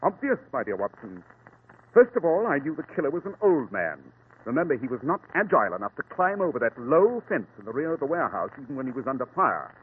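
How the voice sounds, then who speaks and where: A sure-of-himself detective, lively up and down, bit husky, tinny radio. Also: male voice, mid-range voice, fast. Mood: explaining. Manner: crisp, deductive, self-assured. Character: sharp, confident male detective. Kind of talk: 1940s radio acting. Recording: tinny old radio broadcast.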